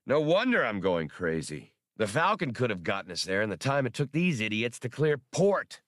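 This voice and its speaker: A man voicing a restless, gruff starship pilot and gambler who complains, in an audiobook. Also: mid-range voice, sing-song, moderate pace, fairly bright tone, dry recording, studio quality. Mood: grumbling.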